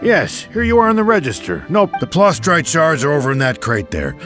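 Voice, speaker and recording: Lively, courteous warehouse man. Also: fairly high pitch, brisk. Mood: helpful. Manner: courteous, obliging. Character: helpful, courteous male warehouse manager. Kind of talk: video game voice acting. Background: game music.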